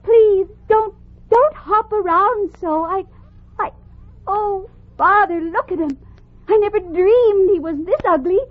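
An actress playing an emotional young princess in a radio fairy tale, pleading and theatrical, with a breathless manner. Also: female voice, very high voice, takes it slowly. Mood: reluctant, disgusted. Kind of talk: old-time radio fairy tale acting. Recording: thin, tinny old radio recording.

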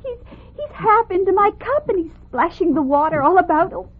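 An actress playing an emotional young princess in a radio fairy tale, pleading and theatrical, with a breathless manner. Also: female voice, extremely high pitch, lively intonation, fairly quick. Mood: reluctant, disgusted. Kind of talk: old-time radio fairy tale acting. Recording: thin, tinny old radio recording.

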